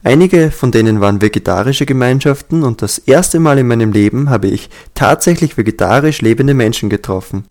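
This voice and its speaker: A man reads a translated book aloud as an audiobook, calm and earnest. His voice sits at an ordinary middle pitch, and he keeps a steady, measured pace. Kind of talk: scripted audiobook reading.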